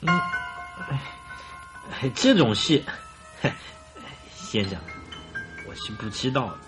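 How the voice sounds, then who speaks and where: An actor playing a guilty, evasive middle-aged swindler in a radio drama, hesitant and stammering. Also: male voice, mid-range voice, sing-song, takes it slowly, rough recording. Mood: flustered, evasive. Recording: radio drama with clear music underneath.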